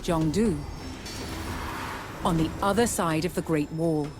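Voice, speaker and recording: A woman delivering scripted documentary narration in a measured, dramatic storytelling manner. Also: low voice. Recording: music under the voice. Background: background music.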